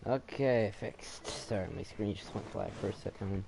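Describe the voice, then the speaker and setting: A man's voice: lowish voice, moderate pace, darkish tone. A man giving casual commentary while he plays through a video game. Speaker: gamer recording a playthrough. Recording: home recording with clear noise.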